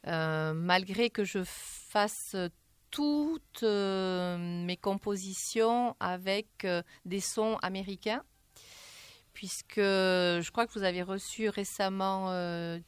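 A woman singer answering radio interview questions in a halting way, with many fillers and restarts. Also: medium pitch, clear, resonant tone, no room sound. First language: French.

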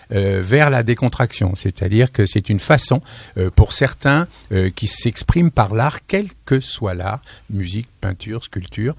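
Male radio presenter, mid-pitched and very animated, chatty, digressive, close dry studio sound. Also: no room sound. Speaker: radio host. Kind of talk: lively radio show presenting and interviewing. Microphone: studio broadcast microphone.

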